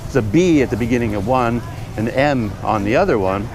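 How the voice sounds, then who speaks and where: A man from Canada, mid-pitched, rambles easily as he walks through a bustling city market. He keeps stopping to hunt for words. Traffic and street bustle come through clearly around his camera mic. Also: expressive, brisk. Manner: rambling, thinking aloud with fillers. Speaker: travel vlogger. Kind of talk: casual talk to camera while walking. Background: traffic and trucks passing.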